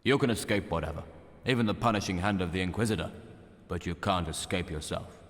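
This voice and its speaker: A stern, mocking stage mime from a game. The voice is very low and declamatory, speaking in cryptic, fatalistic pronouncements. Long reverb surrounds it like a theatre hall. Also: male voice, brisk, a bit bright. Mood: ominous. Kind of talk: theatrical scripted game dialogue.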